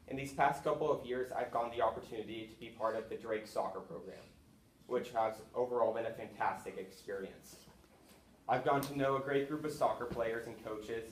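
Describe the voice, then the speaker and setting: A man's voice: medium pitch, steady tone, brisk. A young man, giving an earnest prepared speech to classmates in a school hall, with small restarts now and then. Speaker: student speaker. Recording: echoey room with faint noise.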